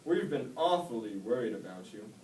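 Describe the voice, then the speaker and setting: A man's voice: on the low side, big pitch swings, normal speed, darkish tone. A man voicing an easygoing father snail who speaks up firmly for his family, heard from far off in an echoey room. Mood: fussing. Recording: echoey room, mic far off, faint hiss.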